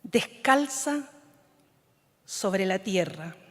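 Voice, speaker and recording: A woman poet from Chile whose mother tongue is Mapudungun, reading her poems in a measured, solemn way, often letting lines fade into a whisper. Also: medium pitch, lively intonation. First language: Mapudungun (Huilliche). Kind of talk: slow, solemn poetry reading. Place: large conference hall. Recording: long reverb of a large hall.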